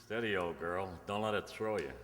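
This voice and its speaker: A man's voice: low voice, moderate pace, fairly bright tone. A man playing a laconic, reluctant writer of thirty in a radio play, understated and wry. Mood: wry, awkward. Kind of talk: radio play acting of a 1940s screwball comedy. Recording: reverberant hall stage recording.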